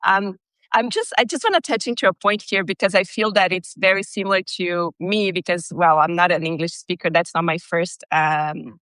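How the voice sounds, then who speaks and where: A woman's voice: fairly low pitch, moderate pace, fairly bright tone, dry recording, poor audio. A woman whose first language is Portuguese, hosting a podcast over a video call and asking a long, rambling question full of fillers. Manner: searching for words, lots of "like" fillers. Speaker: podcast host. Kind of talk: conversational interview question.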